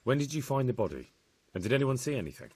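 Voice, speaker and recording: A man's voice: mid-range voice, very animated, quick pace, a little mellow, breathy edge. An actor playing an earnest, dogged young man who questions people politely but insistently in a video game cutscene. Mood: inquisitive.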